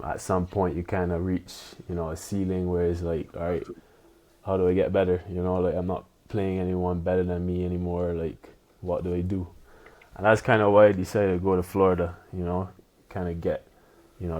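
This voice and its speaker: A man in his twenties from Barbados, a pro tennis player chatting as a podcast guest, relaxed and loose with lots of "you know". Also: low voice. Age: 20s. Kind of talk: casual interview chat.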